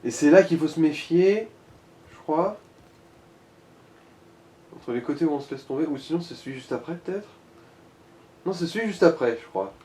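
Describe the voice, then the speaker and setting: A man's voice: a little high, animated, moderate pace, long pauses, dark tone, reverberant, a little hiss or hum. A man giving casual, sweary gaming commentary as he talks a co-player through directions. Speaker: co-host of a gaming let's play.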